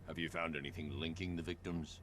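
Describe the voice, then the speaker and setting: An actor playing a grim vigilante hero in a video game, terse, commanding and stoic. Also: male voice, extremely low pitch, fairly even intonation, a little fast, fairly bright tone, slight background noise. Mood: grim, focused. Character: grim, stern adult male vigilante hero. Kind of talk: video game cutscene acting.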